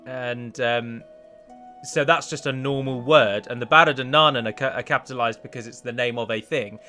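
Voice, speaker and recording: A man's voice: medium pitch, fairly even intonation, moderate pace, bright, forceful tone, faint music behind. A man giving chatty, self-deprecating commentary as he plays and records a let's play on a close microphone. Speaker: gaming video host and mod developer.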